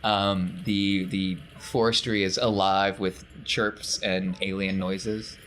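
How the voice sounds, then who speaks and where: A man's voice: deep voice, little pitch movement, slow pace, a bit bright, a little hiss or hum. A man working as a game master, improvising scene narration and chatting casually with players.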